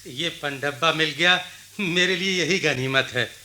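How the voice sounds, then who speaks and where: A man's voice: fairly high pitch, unhurried, bright, forceful tone. A man playing a humble, wistful village labourer in a Hindi radio play, speaking hesitantly and deferentially. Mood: deferential, apologetic.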